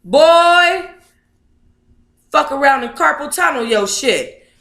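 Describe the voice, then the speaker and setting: A woman's voice: high voice, animated, takes it slowly, a bit bright, roomy sound. A woman in her thirties reading aloud casually and breaking in with blunt, sassy, profane commentary. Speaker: book club host reading aloud and commenting.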